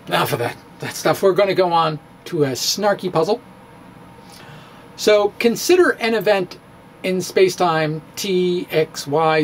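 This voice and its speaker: A middle-aged man giving a dramatic, comedic reading of a physics blog, halting and theatrical with emphatic pauses. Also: high voice, a little hiss or hum. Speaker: blogger, stand-up physicist. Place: indoor room with bookshelves. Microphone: handheld-style microphone on stand, close.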